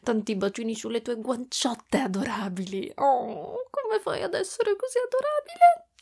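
A woman voicing a doting, clingy motherly spider-woman in an ASMR roleplay, speaking softly and affectionately with whispery stretches. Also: a little high, very animated, moderate pace, exaggerated voice. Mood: doting, excited. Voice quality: soft, breathy with whispery stretches. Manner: cooing, affectionate, giggly, pet names.

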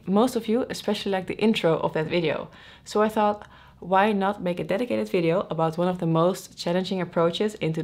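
A young woman from the Netherlands, an airline pilot, presenting a scripted explainer to camera in a clear, measured, friendly way, seated at a desk in a small studio. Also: fairly low pitch, darkish tone. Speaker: airline pilot and video host. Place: small indoor studio with aviation posters.

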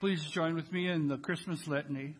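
An older American man speaks as a pastor leading worship. His voice sits a little high for a man. He addresses a congregation in the manner of church announcements and liturgy. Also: steady tone, a little fast, over music, rough recording. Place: large church sanctuary with congregation.

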